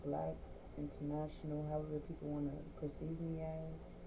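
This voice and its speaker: A Jamaican woman with a low voice talks as a guest on a radio show. She speaks earnestly, circling back over her words for emphasis. Clear background noise hums under her. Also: monotone, normal speed. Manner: earnest, repeats words for emphasis, laughs easily. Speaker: guest, entrepreneur and artist. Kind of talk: casual interview chat.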